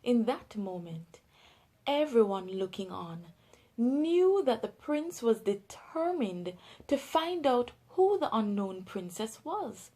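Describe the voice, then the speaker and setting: High-pitched Jamaican woman reading a storybook. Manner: expressive, deliberate storytelling delivery. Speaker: children's story narrator. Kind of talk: scripted storybook read-aloud for young children.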